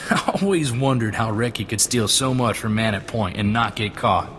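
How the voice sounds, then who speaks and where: A man's voice: fairly low pitch, moderate pace, fairly bright tone, close to an everyday voice. An eager young gunslinger sidekick played by a male actor speaks earnestly with folksy cockiness. It is video game dialogue, with long reverb and music underneath. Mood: amused, earnest. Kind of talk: scripted video game acting.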